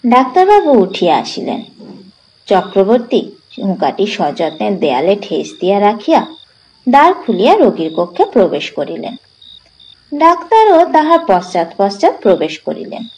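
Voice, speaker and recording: A woman narrating a literary story as a storyteller, with deliberate, dramatic phrasing. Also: mid-range voice, very animated, darkish tone, poor audio.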